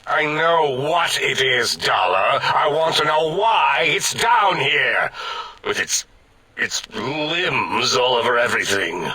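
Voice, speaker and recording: A man voicing an irritable, bombastic mad-scientist brain in a machine for a video game, over-enunciating with indignation and a harsh rasp. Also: mid-range voice, sing-song, slow pace, pushed and bright, full character voice, low-quality recording. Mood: disgusted, baffled. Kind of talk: theatrical comic video game acting.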